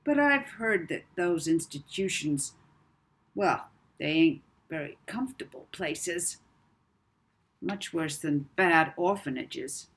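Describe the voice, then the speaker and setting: Woman voicing a husky, sinister male blackmailer. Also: low-pitched, animated, heavily acted. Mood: calm threat. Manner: soft-spoken, lazy drawl with deliberate pauses. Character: sinister, manipulative male blackmailer, smooth and menacing. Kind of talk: children's audiobook character voice.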